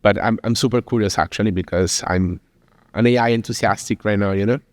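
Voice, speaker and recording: A man's voice: on the low side, fairly quick, dry recording, in a large hall. A man from Italy with an Italian accent, giving a chatty, informal presentation in English with many fillers and restarts. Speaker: speaker at a business talk. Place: venue in Lisbon.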